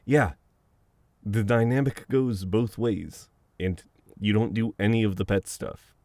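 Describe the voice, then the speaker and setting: A man playing a flustered, teasing, protective best friend in an intimate, conversational audio roleplay, with halting banter. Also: lowish voice, a little mellow, no echo. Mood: teasing, gently firm.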